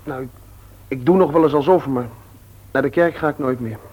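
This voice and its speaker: A man's voice: medium pitch, fairly quick, slightly rough, muffled recording, natural voice. A man playing an insolent, rebellious young son in a radio play, flippant and cool, sometimes hesitant. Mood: candid, guarded. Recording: old radio play recording.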